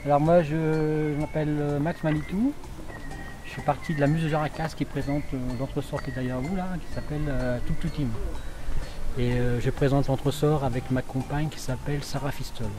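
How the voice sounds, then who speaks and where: A man's voice: a little high, fairly even intonation, moderate pace, over music. A man who presents a street show, introducing himself casually with fillers. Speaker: performer. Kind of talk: interview.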